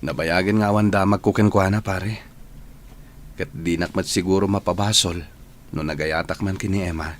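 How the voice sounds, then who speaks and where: A man's voice: deep voice, fairly even intonation, normal speed, a little hiss or hum, natural voice. An actor with an Ilocano Filipino accent playing a lovestruck young male trainee in a radio drama. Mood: earnest.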